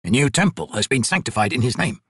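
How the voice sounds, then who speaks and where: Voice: mid-range voice, very animated, fairly bright tone, breathy edge, no room sound, clean studio sound, full character voice. A narrator playing a meek, nervous old male trader in an audiobook, hesitant and fussily formal. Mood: meek, earnest. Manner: slow, hesitant, formal and fussy.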